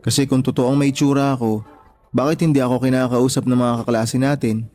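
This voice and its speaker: A man's voice: mid-range voice, fairly even intonation, fairly quick, darkish tone, faint music behind, lightly acted. A young man playing a shy, anxious, apologetic college student in a Tagalog radio drama, speaking hesitantly. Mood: self-doubting. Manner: hesitant, self-doubting.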